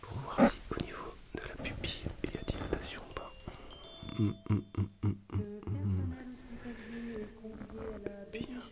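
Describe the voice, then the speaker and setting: A man playing a fussy, pedantic doctor in an ASMR roleplay, explaining things in a hushed voice. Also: fairly low pitch, wildly swooping pitch, a little slow, pauses often. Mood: reassuring, attentive.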